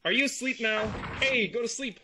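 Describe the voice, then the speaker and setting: A man's voice: very high-pitched, fairly quick, background noise. A man doing taunting, pesky mosquito girls. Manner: mocking, needling, impatient.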